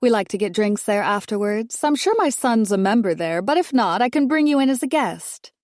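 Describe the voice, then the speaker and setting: Posh older lady, a woman doing her, high and loud, sugary, dear and darling everywhere, dry close sound. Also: lively intonation, brisk, dry recording, lightly acted. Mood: sweetly condescending. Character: wealthy older woman, haughty condescending mother-in-law.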